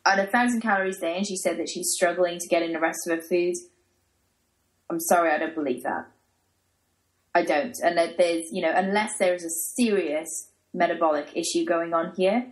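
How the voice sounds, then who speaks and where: A croaky Australian woman who is losing her voice, talking as a coach guest. She speaks directly and emphatically in a lowish voice. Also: poor audio. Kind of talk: casual podcast chat.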